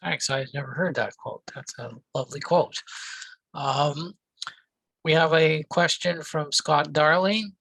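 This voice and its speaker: Gravelly bright Canadian moderator man. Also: fairly high pitch, a little slow, dry recording, low-quality recording. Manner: plain, brief handoffs. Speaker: debate moderator. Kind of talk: reading audience questions.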